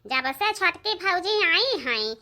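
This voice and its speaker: A woman's voice: extremely high pitch, very animated, slow pace, bright, forceful tone, full character voice. A woman playing an aggrieved, quarrelsome village woman in a comedy cartoon, plaintive and repetitive, with an eastern Uttar Pradesh accent. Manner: plaintive, emphatic, repeats phrases.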